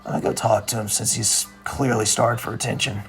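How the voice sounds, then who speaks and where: A man plays a sardonic young friend in an animated comedy-drama, blunt and never impressed. His casual delivery is husky and crackly with vocal fry, and guitar music plays behind it. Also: medium pitch, moderate pace.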